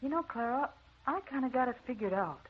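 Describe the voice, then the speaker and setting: An actress playing a wide-eyed, slangy gossip in an old radio drama, folksy and excitable. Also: female voice, sits high, fairly quick, husky. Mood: excited gossip. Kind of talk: 1940s radio drama acting. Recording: muffled old radio recording.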